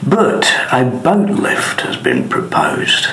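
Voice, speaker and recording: A man working as a radio interviewer, putting prepared, formal questions in measured phrases. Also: mid-range voice, wildly swooping pitch, a bit bright, reverberant, low-quality recording.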